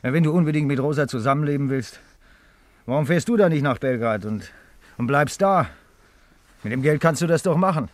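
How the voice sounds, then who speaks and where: A man's voice: fairly high pitch, brisk, long pauses, dry recording, natural voice. Actor as a reserved, sceptical man, dry sound. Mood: impatient. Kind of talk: 1950s German radio play acting.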